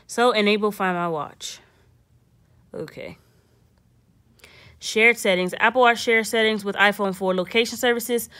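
A woman's voice: medium pitch, unhurried, pauses often, fairly bright tone. A young woman hosting a casual step-by-step tech tutorial, thinking aloud and reading prompts in an informal way.

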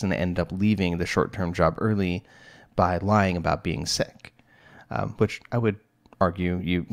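A man's voice: low voice, creaky. A middle-aged man hosting a solo podcast, talking casually and rambling with lots of fillers and restarts. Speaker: podcast host, psychologist.

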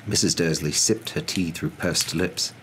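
A young man narrating a story in a soft, dramatic ASMR reading style. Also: deep voice, steady tone, a little slow, a little hiss or hum. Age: young adult.

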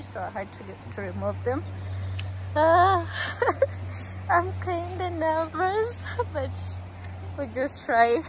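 A young woman with a high voice talks at an unhurried pace into a phone held at arm's length on a forest trail. She is chatty and giggly and drifts between English and Swahili. The rush of a stream and waterfall comes through clearly behind her. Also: low-quality recording. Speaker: vlogger. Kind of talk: casual vlog narration while hiking. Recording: outdoor noise from stream and waterfall.

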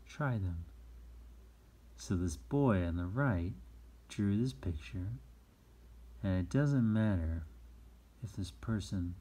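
A man's voice: low voice, animated, slow, pauses often, warm tone, breathy edge. A man hosting a children's read-aloud, informally reading a picture book with asides and explaining things simply for young listeners.

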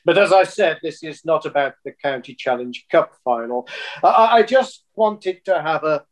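An actor playing a polite, exasperated county football official, formal and tactful, on a video call. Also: male voice, fairly high pitch, lively intonation, moderate pace, lightly acted. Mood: politely awkward. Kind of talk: filmed comedy acting on a video call.

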